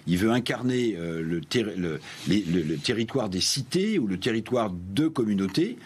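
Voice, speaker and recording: A man who is a journalist sitting as a panelist on a TV studio debate, giving measured, emphatic commentary. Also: on the low side, expressive, a little hiss or hum.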